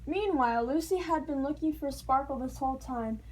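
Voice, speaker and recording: High-voiced woman reading a children's story. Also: brisk, low-quality recording. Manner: expressive storytelling with playful sound words. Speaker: storybook reader. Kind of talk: children's read-aloud narration.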